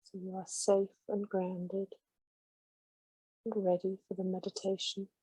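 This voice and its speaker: A woman gently guides listeners through a meditation in a slow, soothing way. Her voice is mellow and slightly breathy, with very little rise and fall. The sound is close and dry. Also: mid-range voice, completely flat delivery, dry recording, low-quality recording.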